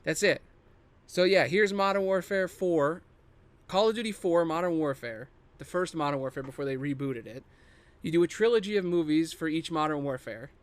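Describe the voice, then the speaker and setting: A man's voice: fairly high pitch, moderate pace, dry recording. A man hosting a podcast in a casual, improvised solo monologue, rambling and thinking aloud with casual swearing. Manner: casually profane. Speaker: podcast host.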